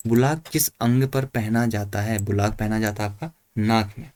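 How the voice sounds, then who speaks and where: A man's voice: fairly low pitch, steady tone, moderate pace, darkish tone, no echo. A man working through exam questions and answers informally, as a coaching teacher explaining to students.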